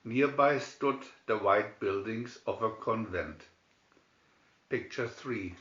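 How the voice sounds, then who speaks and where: A man reading a scripted fairy tale as a storybook narrator, in a deliberate, measured way. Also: lowish voice, a bit bright, echoey room, dull audio. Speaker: storybook reader.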